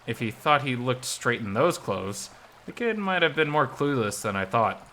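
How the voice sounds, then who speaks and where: Guy reading a visual novel story out loud, really bright expressive voice, bit of noise behind. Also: mid-range voice, moderate pace. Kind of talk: expressive first-person story narration.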